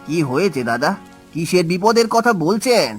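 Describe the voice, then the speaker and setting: Poor honest cartoon father, played by a man, fairly high voice, patient and plaintive, light music behind. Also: expressive, moderate pace. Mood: concerned. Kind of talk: cartoon voice acting.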